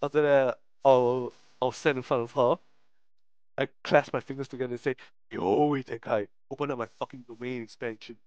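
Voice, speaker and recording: A man's voice: medium pitch, steady tone, moderate pace, a little mellow, smooth, no echo, lo-fi sound. A man live-streaming a visual novel, reading it aloud with slangy commentary and frequent stumbles over the text. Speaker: game streamer.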